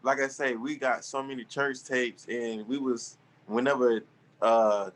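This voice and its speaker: A man's voice: mid-range voice, fairly even intonation, measured pace. A man in his twenties, a guest musician, talking loosely and trailing off in a casual interview. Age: 20s.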